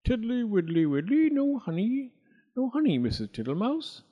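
Man reading as a cheeky old toad, high sing-song voice, storybook figure. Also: wildly swooping pitch, normal speed, warm tone, husky, lo-fi sound, full character voice. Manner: repeats sing-song phrases. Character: slow greedy old male toad, cheerfully rude. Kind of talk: storybook character voice.

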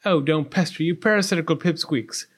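A man's voice: high voice, expressive, normal speed, pitched above the performer's own voice. A man voicing a vain, spoiled young storybook princess with a haughty, snappish manner. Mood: peevish.

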